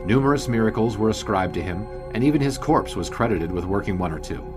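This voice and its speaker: A man's voice: lowish voice, lively intonation, fast, close to an everyday voice. A man playing a seasoned old-time sports writer, narrating a scripted history story in a dry, wry way. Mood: measured. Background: background music.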